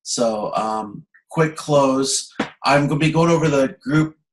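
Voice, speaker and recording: A man teaching an informal online class as instructor, explaining step by step in a halting, conversational way and checking that listeners follow. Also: medium pitch, low-quality recording. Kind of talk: informal online lecture to students. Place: video conference.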